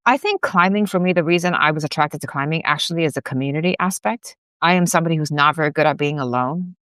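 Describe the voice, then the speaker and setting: A woman's voice: low-pitched, fast talker, fairly bright tone, dry recording, studio quality. A woman in her forties, from Taiwan, talking candidly and upbeat in an interview, with lots of "like" and small laughs. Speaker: guest, gym CEO. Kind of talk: casual interview chat.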